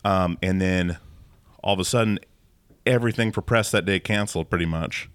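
A man's voice: sits low, normal speed, clean tone, no room sound. A man hosting a podcast, asking friendly, rambling questions in a casual interview, recorded indoors at a theater venue. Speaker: podcast host.

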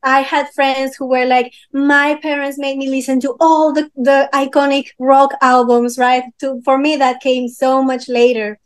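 A young woman from Peru answering interview questions casually, often pausing and restarting as she searches for words. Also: sits high, flat delivery. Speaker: guest musician.

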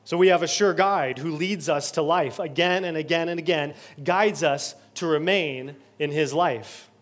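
Male pastor, higher-pitched, preaching with earnest building repetition. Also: normal speed. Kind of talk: sermon. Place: church sanctuary.

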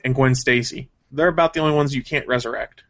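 A man in casual co-host mode, speaking at a middling pitch. He talks conversationally, like an opinionated fan discussing comics and cartoons. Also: quick pace, a bit bright. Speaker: co-host of a gaming and comics news show.

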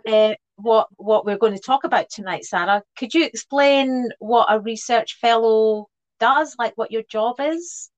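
A woman's voice: a little high, unhurried, low-quality recording. A woman co-hosting a podcast as a speech therapist, leading a conversational interview with friendly questions and small restarts.

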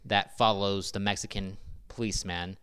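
Dry skeptical man, smooth low voice. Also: little pitch movement, moderate pace, a bit bright. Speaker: podcast co-host. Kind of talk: casual film discussion.

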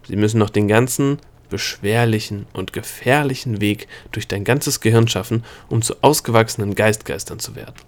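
A man reading an audiobook, voicing an excitable male gnome who lectures and cackles. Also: lowish voice, normal speed, few pauses, close to an everyday voice. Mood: lecturing. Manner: cackling, lecturing, worked up into a rage. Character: excitable male gnome with color-changing scales.

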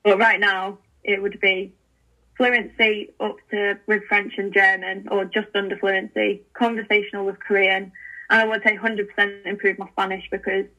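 A chatty young woman guest on a podcast, talking eagerly with frequent fillers and restarts. Her voice is bright and forward, with a flat, even pitch. It comes through thin, like a phone call. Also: moderate pace. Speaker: podcast guest, language learning founder. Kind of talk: casual interview chat.

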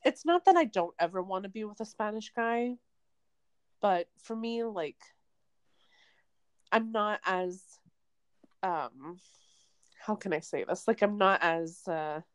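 A woman's voice: mid-range voice, lively intonation, slow, pauses often, smooth, dry recording. A young Canadian woman co-hosting a podcast, chatting casually and rambling with lots of fillers. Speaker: podcast co-host. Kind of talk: casual chat. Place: remote, away from co-host.

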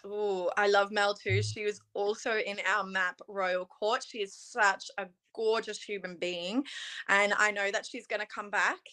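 A young woman from Australia speaks as a guest in a relaxed interview. She talks in a chatty, earnest way and keeps filling her sentences with "you know" and "um". Her pitch sits right in the middle for a woman. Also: unhurried. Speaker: interview guest, pageant titleholder. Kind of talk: casual interview chat.

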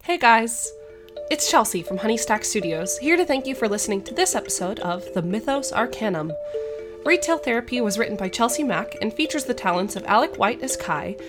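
High-voiced woman announcer over music. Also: very animated, fairly quick. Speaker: writer and announcer of the show. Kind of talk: scripted credits announcement. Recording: loud music behind voice.

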